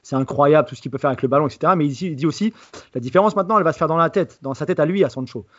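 A man's voice: fairly high pitch, very fast, lo-fi sound. A man who is a football journalist, giving assertive analysis on a podcast. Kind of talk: podcast discussion.

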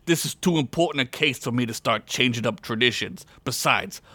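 A man's voice: medium pitch, brisk, bright, forceful tone, no echo. A man voicing a crude, blustering city mayor who bosses his team around in a comic story. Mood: bossy. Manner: bossy, blustering. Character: brash, crude male city mayor, bossy taskmaster.

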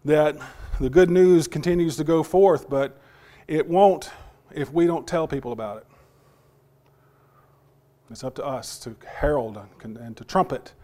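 Guy preaching a sunday sermon, voice half gone and kinda hoarse, stops and repeats himself, asks the church questions. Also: a little high, warm tone. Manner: halting, repeats words, asks the congregation questions. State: partly lost voice, recovering.

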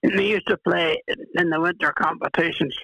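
An older man from Ireland with a cold, reminiscing as an interview guest, halting with many restarts and fillers. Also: fairly high pitch, fairly even intonation, gravelly, sharp tone, no echo, rough recording. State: has a cold, clears throat. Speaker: retired golfer, guest.

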